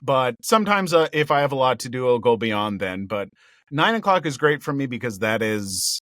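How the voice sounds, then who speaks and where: A male voice actor chatting in an interview. He is cheerful and excitable, lifts his voice into a higher register with a gravelly undertone, and laughs often. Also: normal speed, dry recording, studio quality. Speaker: voice actor guest. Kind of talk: casual interview chat. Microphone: headphones and a home recording mic.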